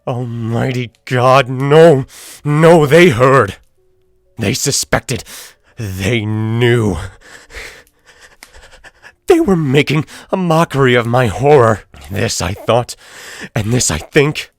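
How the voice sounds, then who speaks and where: Man acting a theatrical, deep-voiced gothic murderer. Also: no echo, studio quality. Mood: frantic dread. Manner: theatrical, deliberate phrasing with drawn-out words. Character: guilt-crazed murderer, feverishly confident, unraveling into frantic confession. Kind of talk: dramatic reading of a gothic horror story.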